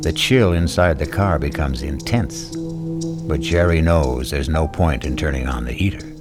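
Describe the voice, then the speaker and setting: A man hosting a scripted radio mystery drama, narrating in an ominous, deliberate manner. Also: rumbling low. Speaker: host and narrator. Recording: vintage radio recording with music.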